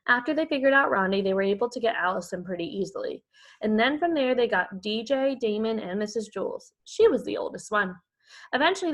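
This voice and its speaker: A woman's voice: on the high side, a bit bright. A woman reading a children's chapter book aloud to young pupils, like a primary school teacher. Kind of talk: expressive children's story read-aloud.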